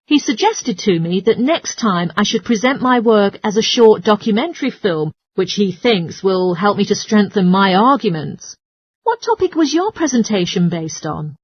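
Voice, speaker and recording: A woman reading scripted listening test dialogue and instructions in an articulate, orderly way, with a slightly husky voice. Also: medium pitch, animated, moderate pace, no echo, poor audio. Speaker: listening test voice actor and announcer.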